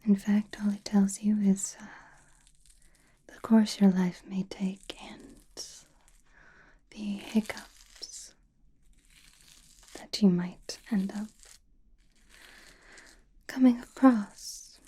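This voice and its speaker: A woman playing a mysterious, attentive sorceress fortune-teller in a whispered ASMR roleplay, speaking softly and deliberately close to the microphone. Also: mid-range voice, steady tone, exaggerated voice. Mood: calm, attentive. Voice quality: breathy, mostly unvoiced whisper. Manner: slow, deliberate, soothing with soft pauses. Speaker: ASMR performer.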